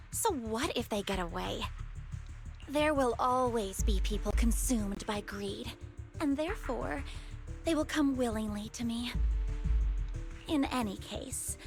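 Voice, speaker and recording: A woman playing a haughty, cruel cult villainess in a video game, taunting and condescending in a theatrical way. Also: sits high. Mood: smug. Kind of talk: dramatic video game voice acting.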